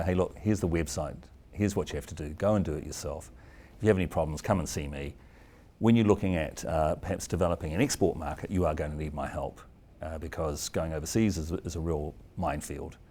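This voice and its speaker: A man with a New Zealand accent speaks in a low, mellow voice. He comes across as a middle-aged expert giving informal advice, conversational and colloquial, with anecdotes mixed in. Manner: conversational, colloquial, acts out quoted exchanges. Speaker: trademark law expert. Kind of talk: informal advisory talk with anecdotes.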